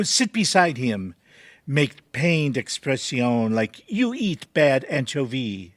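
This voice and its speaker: A man's voice: fairly high pitch, sharp tone, dry recording, clean studio sound, heavily acted. A man playing a pompous, artsy French film director with a French accent and fussy, theatrical broken English. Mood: directing, gushing.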